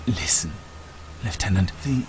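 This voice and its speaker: An actor playing a sly, self-serving enemy turncoat officer in a game cutscene, talking in a wry, theatrical, ingratiating way. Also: male voice, low-pitched, animated, measured pace. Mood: wry persuasion. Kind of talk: video game cutscene acting. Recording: noisy ambience behind the voice.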